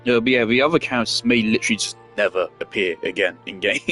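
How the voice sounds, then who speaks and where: A young man giving casual, opinionated game commentary, blunt and sweary, pausing as he thinks. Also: fairly low pitch, a bit bright, soft background music. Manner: blunt, sweary, hesitant phrasing. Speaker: game streamer.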